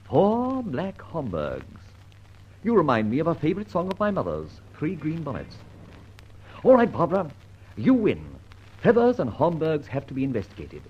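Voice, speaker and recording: An actor playing a suave, flippant British gentleman adventurer in an old-time radio detective drama, with a teasing, droll manner. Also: a little high, wildly swooping pitch, normal speed, husky, full character voice. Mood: amused, decisive. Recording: dull, noisy old radio recording.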